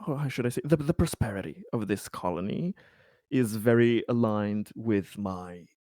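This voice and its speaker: A man playing a cautious, diplomatic colony businessman in a tabletop roleplay, speaking in a halting, formal, deliberate way. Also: on the low side, warm tone, no room sound, clean studio sound, natural voice. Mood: measured, guarded. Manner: measured, formal negotiator.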